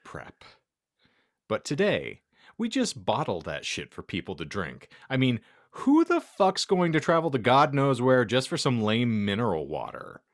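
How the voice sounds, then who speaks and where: Man doing a melodramatic bratty teenage goth girl. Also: mid-range voice. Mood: smug lecturing. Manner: slangy, indignant, melodramatic. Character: bratty, foul-mouthed teenage goth girl essay writer. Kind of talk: comedic dramatic reading of fan fiction.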